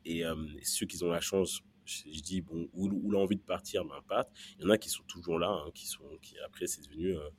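A man's voice: low-pitched, steady tone, brisk, hardly any pauses, a little hiss or hum. A man from Belgium telling his personal story as a podcast guest, hesitant and often repeating words as he searches for phrasing. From born in Central Africa, raised in Belgium from early childhood.

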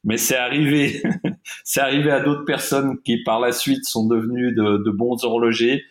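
A man's voice: medium pitch, fairly even intonation, normal speed. A middle-aged man from Switzerland whose first language is German, a watch-industry guest talking in an interview with many fillers and restarts. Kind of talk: interview conversation.

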